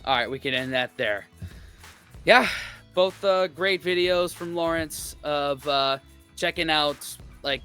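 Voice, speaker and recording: A man's voice: fairly high pitch, pushed and bright. A man hosting a reaction video, talking casually and rambling with lots of fillers. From United States. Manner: rambling, filler-heavy, laid-back. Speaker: reaction channel host.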